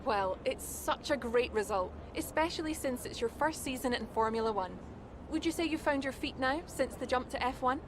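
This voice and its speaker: An actress playing a probing motorsport reporter conducting a post-race interview in a game. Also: female voice, sits high, lively intonation, moderate pace. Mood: congratulatory. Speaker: sports interviewer. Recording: clear outdoor vehicle noise.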